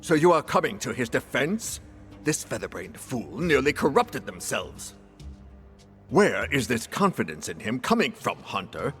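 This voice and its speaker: An actor playing a stern, haughty official of a hunters' order in a fantasy game, speaking formally and accusingly. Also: male voice, medium pitch, sing-song, a little slow, fairly bright tone, soft background music. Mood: haughty challenge. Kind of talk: dramatic fantasy game acting.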